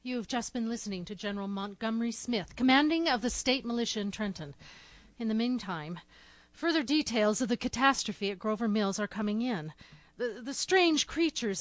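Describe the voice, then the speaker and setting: Actress as higher-voiced flustered radio newswoman. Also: no echo, close to an everyday voice. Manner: formal but hesitant, flustered. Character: shaken female radio studio news announcer. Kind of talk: 1930s radio drama news bulletin.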